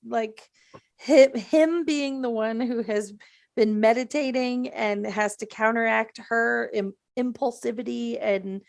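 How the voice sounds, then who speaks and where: A woman's voice: on the high side, fairly even intonation, takes it slowly, vocal fry, darkish tone, no echo. A woman chatting casually as a panelist in a podcast discussion.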